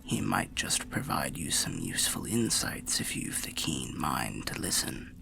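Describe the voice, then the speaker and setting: A man voicing a weary, kindly old undead warrior who rambles gently in a breathy, gravelly half-whisper, like a fantasy ASMR storyteller. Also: a bass voice, very animated, over music, heavily acted. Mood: musing, cautionary. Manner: slow, rambling, archaic, gently cautionary storyteller. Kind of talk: ASMR fantasy roleplay monologue.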